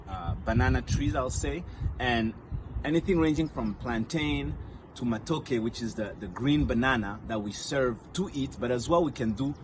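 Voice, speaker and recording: A man's voice: mid-range voice, background noise. A man from Rwanda, whose first language is Kinyarwanda, giving a friendly self-recorded video message outdoors among banana trees, listing points with fillers as he goes. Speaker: storyteller.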